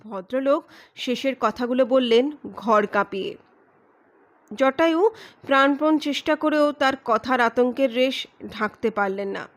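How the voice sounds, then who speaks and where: Woman, high-pitched voice, scripted story narration, audiobook narrator. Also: a little slow.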